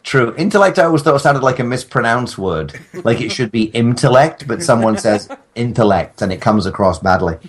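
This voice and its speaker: A man's voice: medium pitch, animated, normal speed, few pauses. A man, a podcast guest bantering in a mock-grandiose, wordy, joking way. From England. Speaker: podcast guest, audio drama maker. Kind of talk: comic casual banter.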